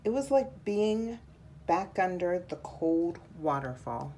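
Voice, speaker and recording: A woman reading a children's picture book aloud in a measured, deliberate storytelling style. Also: lowish voice, big pitch swings, mellow, a little hiss or hum.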